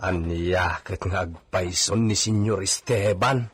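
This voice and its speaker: A man with an Ilocano accent and husky voice playing a gossipy, matter-of-fact villa servant in a radio drama. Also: sits low, takes it slowly, heavily acted. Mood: confiding.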